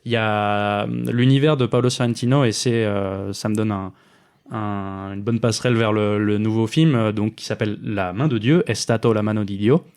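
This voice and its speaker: A man's voice: lowish voice, fairly even intonation, normal speed, darkish tone, smooth. A man co-hosting a casual film podcast, talking at length with many hesitations and restarts. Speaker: podcast co-host.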